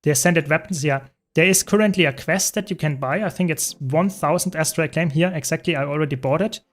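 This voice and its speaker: A man's voice: on the high side, fairly quick. A young man from Germany with a German accent, chatting casually with viewers while playing a game on a live stream. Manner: answers chat questions while playing, relaxed and talkative.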